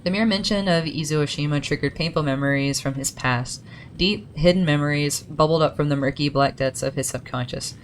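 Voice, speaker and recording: Low, casual woman gamer reading lore, chatty asides, faint rumble underneath. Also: brisk. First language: English. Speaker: gaming video creator.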